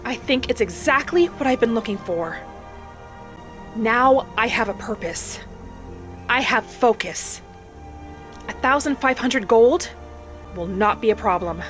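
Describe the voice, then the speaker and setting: A woman voicing a gentle, hopeful young wood elf adventurer, narrating her journey softly and without hurry over background music. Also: on the high side, lively intonation. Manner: soft, unhurried, dreamy, earnest. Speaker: roleplay series host. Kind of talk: in-character scripted narration, storytelling. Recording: music behind the voice.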